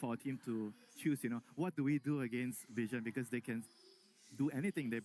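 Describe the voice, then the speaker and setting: Fast-talking man breaking down esports strategy. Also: medium pitch, a little mellow. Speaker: esports analyst. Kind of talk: conversational panel analysis.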